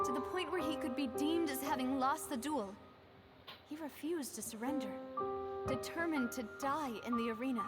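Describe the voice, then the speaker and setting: Earnest, high-voiced young gang leader. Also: female voice. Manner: composed, polite, earnest. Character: young female leader of an underground society, earnest, resolute. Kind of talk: video game voice acting.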